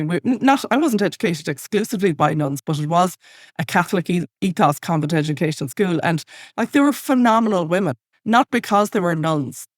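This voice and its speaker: A woman's voice: fairly low pitch, no room sound. Chatty Irish woman with a dry, close sound. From west of Ireland. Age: 50s. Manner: talkative, witty. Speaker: podcast guest, comedy writer. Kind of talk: casual anecdotal chat.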